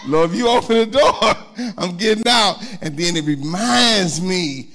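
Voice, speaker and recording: A man's voice: high-pitched, animated, unhurried, a bit bright. A middle-aged man preaching in a church with a handheld microphone, chatting with the congregation in a conversational sermon. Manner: conversational preaching with call-and-response asides. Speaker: pastor. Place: church sanctuary, indoors.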